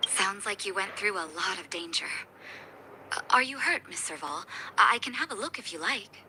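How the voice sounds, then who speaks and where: A woman playing a caring, composed clinic doctor in a video game, speaking gently and politely. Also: medium pitch, animated, moderate pace, a little hiss or hum.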